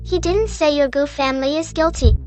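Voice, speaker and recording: Woman as a poised court noblewoman, high voice, measured and pointed, costume drama, music underneath. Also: a little mellow, lightly acted. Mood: soothing, probing.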